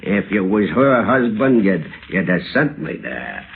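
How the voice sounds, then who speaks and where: An actor playing an uneducated, crooked drifter in an old-time radio thriller, with rough, slangy, ungrammatical speech. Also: male voice, on the low side, very animated, measured pace, exaggerated voice. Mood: drunken, leering. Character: uneducated crooked drifter, boastful drunk turning terrified. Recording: scratchy, tinny old radio recording.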